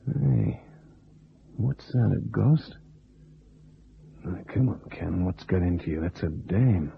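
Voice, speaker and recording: An actor playing a wisecracking, cool American test pilot with a laconic manner in a radio detective drama. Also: male voice, deep voice, lively intonation, slow pace, creaky, a little hiss or hum, heavily acted. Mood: startled, intrigued. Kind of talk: old-time radio detective drama acting. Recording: muffled, narrow old radio recording.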